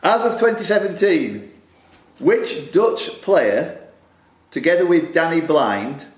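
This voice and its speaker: A man's voice: on the high side, animated, slow pace. A man hosting a live pub quiz, with jokey, punning asides. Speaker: quiz master. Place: social club room. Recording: roomy club hall with some noise.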